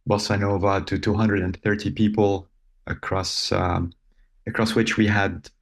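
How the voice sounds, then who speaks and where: A man, speaking as an interview guest in a measured, thoughtful way with frequent pauses. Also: on the low side. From Lebanon. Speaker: guest, robotics company founder. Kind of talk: casual interview talk.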